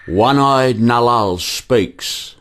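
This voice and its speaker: Male narrator, unhurried and deliberate, scripted history read, guitar clearly audible beneath. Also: medium pitch. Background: guitar music.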